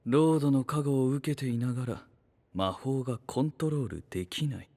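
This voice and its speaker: An actor playing a calm, inquisitive young knight in an anime voice drama, making measured, blunt, scholarly observations. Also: male voice, medium pitch, natural voice. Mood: curious, analytical. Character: inquisitive, analytical young male knight, calm and self-assured. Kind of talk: Japanese anime voice drama acting.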